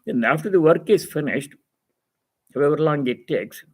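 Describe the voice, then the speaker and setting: Man giving a measured spiritual discourse. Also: fairly high pitch, lively intonation. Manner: didactic, repeats phrases for emphasis. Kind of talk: spiritual discourse, reading and explaining scripture.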